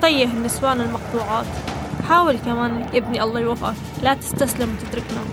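A woman's voice: fairly high pitch, fairly even intonation, rapid-fire, close to an everyday voice. An actress voicing a grateful older woman held captive, pleading and offering blessings. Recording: distant voice under loud music. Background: music.

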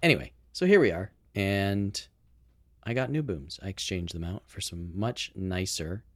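A man's voice: deep voice, animated, measured pace. A man co-hosting a casual home-recorded podcast, rambling and correcting himself as he explains things. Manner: rambling, self-correcting, chatty. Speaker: podcast producer and co-host. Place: home recording setup in a house. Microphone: boom-arm microphone.

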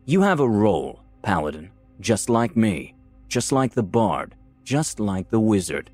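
A man voicing a cool, sardonic male assassin in a fantasy story, speaking in a flat, deliberate way. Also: fairly low pitch, soft background music. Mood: dryly sardonic. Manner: soft, flat, deliberate, matter-of-fact. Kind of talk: dramatised fantasy story reading.

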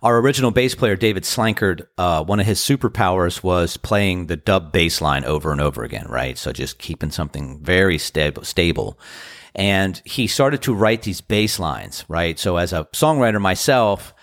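A man in his fifties from the Washington DC area, hosting a podcast in a casual, earnest, rambling monologue, his voice deep and tired after a show. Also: animated, moderate pace, a bit bright, dry recording, clean studio sound. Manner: earnest, rambling, grateful storytelling. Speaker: podcast host and band musician.

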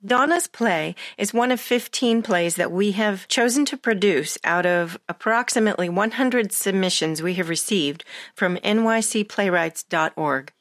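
Female interviewer, medium pitch, formal scripted delivery, dry close recording. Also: moderate pace, dry recording. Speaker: interviewer and drama director.

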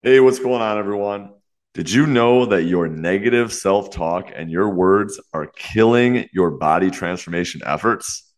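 A young man with a very low voice talks alone into a podcast mic as host of a fitness show. He sounds congested and a little nasal, and he moves along quickly and earnestly, dropping frequent "you know" fillers. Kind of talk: solo podcast talk.

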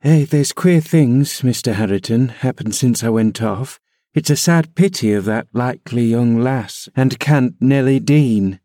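A man's voice: medium pitch, unhurried, warm tone, dry recording, studio quality, lightly acted. A man voicing a gossipy older female servant who talks in rustic dialect. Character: chatty older female servant, country-spoken.

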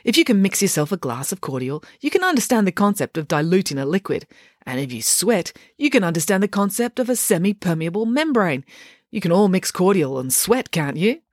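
A brisk, oblivious scientist mother as a woman reads her aloud sails through a lesson with chirpy, sing-song confidence. Her voice leaps up and down with lively swoops. The sound is dry and close. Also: on the low side, no room sound, voice pushed up. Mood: breezy. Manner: breezy, chirpy, talks over others.

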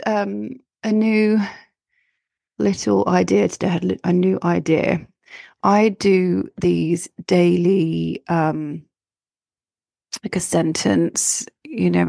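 A woman in her thirties hosts her own podcast with a croaky, gravelly voice that sits slightly low. She chats in a rambling way, often restarting her sentences. The sound is close and dry, with no room around it. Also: a little mellow, dry recording. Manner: chatty, rambling with many restarts and fillers. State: croaky voice from illness. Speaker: astrologer and podcast host. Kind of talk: solo podcast talk.

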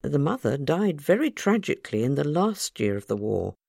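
A brisk, careful female matron of a children's home, as a woman storyteller reads her. She is formal and efficient yet compassionate, with a gravelly edge and a dry, close sound. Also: low-pitched, sing-song, warm tone, no echo, exaggerated voice. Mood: cautious, efficient.

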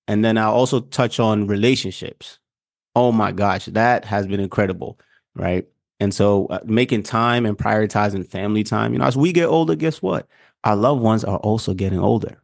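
A man's voice: lowish voice, gravelly, no echo, studio quality. A young man from Ghana, co-hosting a podcast, talking in an earnest, reflective way in casual chat. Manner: earnest, reflective, loosely structured. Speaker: podcast co-host.

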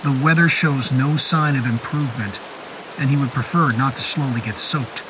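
A man narrating a scripted horror story in a deliberate, literary and descriptive manner. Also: mid-range voice, noisy background. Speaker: narrator of a horror fiction podcast. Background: music and rain sound effects.

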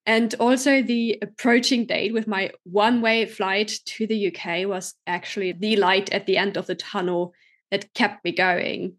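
A woman's voice: mid-range voice, unhurried. Upbeat German-accented woman hosting a podcast. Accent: German, with British English touches. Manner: upbeat, chatty, energetic. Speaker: podcast host and coach. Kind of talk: casual solo podcast storytelling.

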